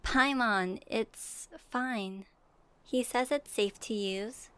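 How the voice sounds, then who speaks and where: A woman playing a gentle, curious young female traveler in a soft ASMR audio roleplay, pausing as if for an unheard partner. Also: a little high, clear, resonant tone, dry recording. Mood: playful.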